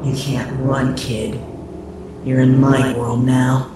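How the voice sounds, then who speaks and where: A man voicing a sadistic demonic creature from a horror story, gleefully taunting his victims with drawn-out, mocking menace. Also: medium pitch, flat delivery, very noisy, voice pushed up, close to an everyday voice. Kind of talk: horror story character reading. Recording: echoing voice buried in loud ambience.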